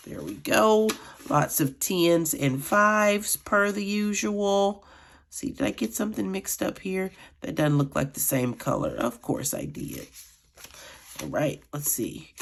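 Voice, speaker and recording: A middle-aged woman vlogging about her budget in a chatty, friendly way, a little raspy from allergies. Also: mid-range voice, lively intonation, slow, slight background noise. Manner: chatty, addresses viewers as friends. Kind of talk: casual chat while counting cash.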